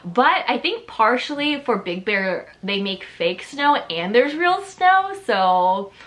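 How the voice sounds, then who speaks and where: A woman's voice: on the high side, sing-song, slow pace, a bit bright. A woman in her late twenties, whose first language is Chinese, chatting to camera in a casual vlog, upbeat and full of "like" fillers. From Southern California. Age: 20s. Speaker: vlogger.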